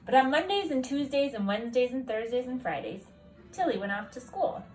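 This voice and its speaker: A woman's voice: high-pitched, animated, fairly quick, darkish tone, faint music behind, poor audio. A woman narrating a children's storybook aloud expressively, like a teacher reading to children at home. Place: at a kitchen table at home.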